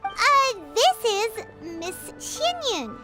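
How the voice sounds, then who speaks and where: A tiny game fairy with a very high, squeaky, childlike voice. She chatters in exclamations with lively swings of pitch, taking her time over words, over clear background music. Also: very slow, heavily acted. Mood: excited. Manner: exclamatory, speaks of self in third person. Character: small, chatty, childlike floating fairy companion, female. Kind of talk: video game character acting.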